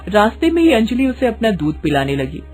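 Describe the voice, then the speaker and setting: Animated woman storyteller. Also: on the high side, fairly quick, soft background music. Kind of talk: dramatic scripted story narration.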